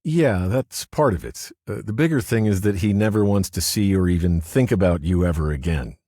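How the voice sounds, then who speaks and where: A man voicing a calm, menacing man giving a stern warning, in an audiobook. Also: deep voice, normal speed, dry recording, clean studio sound, pitched above the performer's own voice.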